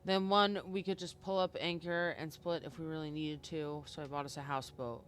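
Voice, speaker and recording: A man's voice: on the high side, little pitch movement, normal speed, a bit bright, smooth. A man streaming a game live, giving informal commentary and reading aloud as he plays.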